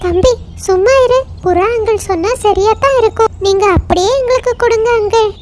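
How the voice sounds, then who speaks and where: A woman's voice: extremely high pitch, a little fast, a little hiss or hum. A woman voicing a sensible, obedient young girl bird in a children's cartoon story. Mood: firm.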